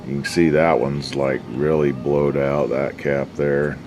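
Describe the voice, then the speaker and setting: A man with an extremely low, deep voice chats casually through a hands-on repair job. His delivery is folksy and slangy, muttered as he works, with faint music somewhere behind him. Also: level tone, a little slow. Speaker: hobbyist radio restorer and video host. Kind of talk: casual unscripted workbench commentary.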